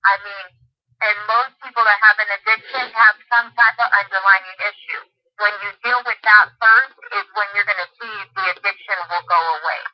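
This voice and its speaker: A woman's voice: mid-range voice, animated, moderate pace, vocal fry. Female hotline intake worker, earnest and persuasive, explaining options over a dull lo-fi telephone connection. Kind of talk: explanatory phone consultation. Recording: muffled lo-fi telephone line.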